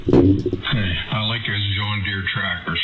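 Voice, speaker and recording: A man's voice: on the low side, steady tone, slow, muffled recording. A man talking casually over amateur radio in an informal, rambling way. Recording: noisy amateur radio transmission.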